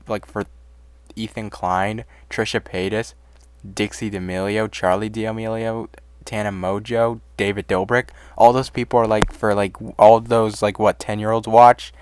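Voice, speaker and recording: A man's voice: fairly low pitch, clear, resonant tone. A man giving casual, exasperated commentary on online drama, swearing and rambling through asides.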